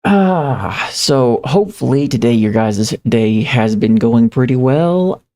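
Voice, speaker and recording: A man livestreaming in a casual, rambling way, halting and repeating phrases as he thinks aloud. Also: medium pitch, lively intonation, a little mellow. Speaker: live streamer. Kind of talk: casual livestream chat, reading viewer comments.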